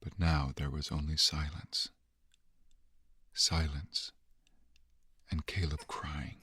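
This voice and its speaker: Extremely low pitch, ominous horror narrator, grave, measured, scripted audio drama. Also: male voice, little pitch movement, bright, forceful tone, breathy edge, no room sound, clean studio sound, full character voice. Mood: hushed, grim.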